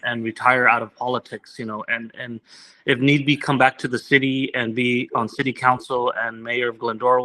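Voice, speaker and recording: A man's voice: medium pitch, pushed and bright, rough recording. A man whose first language is Vietnamese, a candidate earnestly answering interview questions with many restarts. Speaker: political candidate.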